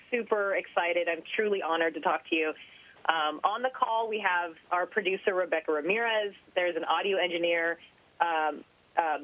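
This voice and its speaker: A woman hosting a podcast asks an astronaut questions in an excited, chatty way, often saying "like". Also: lowish voice, no room sound, phone-quality sound. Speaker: podcast host and interviewer. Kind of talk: enthusiastic interview questions.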